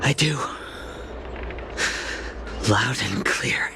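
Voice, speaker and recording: An actor playing a weary, grieving but resolute healer in a video game, speaking in a measured, solemn way. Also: male voice, mid-range voice, gravelly, background noise. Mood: strained. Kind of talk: dramatic video game acting.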